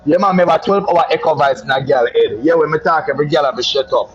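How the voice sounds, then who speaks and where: A man's voice: a little high, quick pace, slightly rough, highs cut off, soft background music. A Jamaican man with a boastful, flamboyant manner, telling a story in casual patois chat and calling listeners "my love". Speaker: social media personality.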